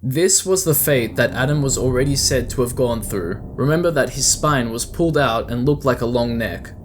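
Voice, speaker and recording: Man narrating over clearly audible background ambience. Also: mid-range voice, lively intonation, normal speed, background noise. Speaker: video essay host. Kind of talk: scripted narration, explanatory commentary.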